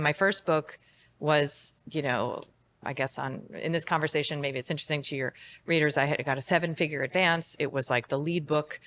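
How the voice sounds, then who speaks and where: Woman interview guest, very low pitch, reflective and candid tone. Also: a bit bright. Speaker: guest, holistic psychiatrist. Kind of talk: interview conversation.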